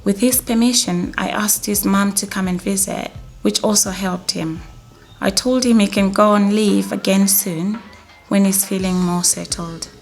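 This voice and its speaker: A woman acting as a mental health nurse narrates a training film. She is concerned and matter-of-fact, at a middling pitch with faint music underneath. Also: fairly even intonation, a little slow, vocal fry. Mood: calm, caring.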